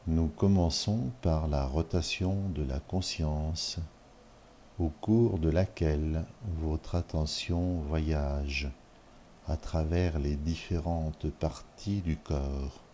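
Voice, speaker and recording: Very deep, slow-speaking man guiding meditation. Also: steady tone, dark tone, a little hiss or hum. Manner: measured, instructional, with long pauses between short phrases. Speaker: yoga nidra guide. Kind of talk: guided relaxation meditation.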